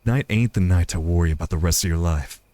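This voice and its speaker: A man's voice: deep voice, fast, mellow, no room sound, voice pushed down, close to an everyday voice. A man voicing a tender, reassuring cowboy outlaw with a folksy Western drawl in a visual novel.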